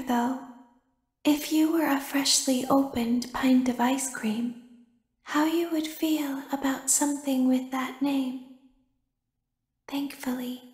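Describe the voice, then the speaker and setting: A woman voicing a childlike female animatronic robot in a video game, eerie and secretive, with a hushed, breathy, deliberate delivery. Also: high-pitched, roomy sound. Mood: eerie, musing. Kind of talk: video game character monologue.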